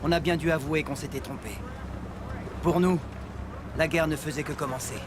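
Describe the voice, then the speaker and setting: A man dubbing a hardened American soldier who leads his unit, giving curt, gruff orders in a war film. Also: fairly high pitch, steady tone, normal speed, close to an everyday voice. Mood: grim narration. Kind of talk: French dub of a war film. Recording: film soundtrack with loud vehicle noise.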